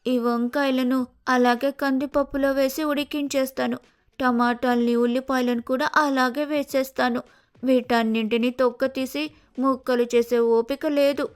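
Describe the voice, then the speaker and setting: A woman's voice: high-pitched, flat delivery, measured pace, clear, resonant tone. A woman voicing a lazy, sulky young sparrow daughter-in-law in a cartoon, with whiny, careless complaining. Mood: lazy, grumbling.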